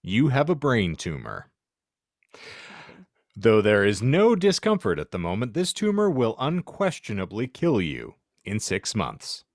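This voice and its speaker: A man's voice: fairly low pitch, huge pitch swings, unhurried, no room sound, clean studio sound. A man hosting a casual podcast, bantering and reading aloud in a wry, mock-grandiose way. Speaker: podcast host.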